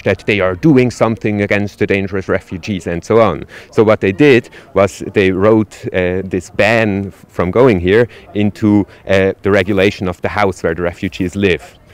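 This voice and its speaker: A man's voice: lowish voice, clean tone. A man answering questions at a street protest, arguing his points firmly with frequent restarts. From Switzerland. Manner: articulate, argumentative, with frequent "uh" restarts. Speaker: demonstrator interviewed at a protest.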